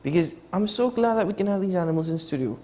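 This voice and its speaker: A man's voice: high voice, lively intonation, quick pace, mellow. A man hosting a morning TV show, earnest and often restarting his sentences in a casual studio chat. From Trinidad and Tobago. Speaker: TV show host.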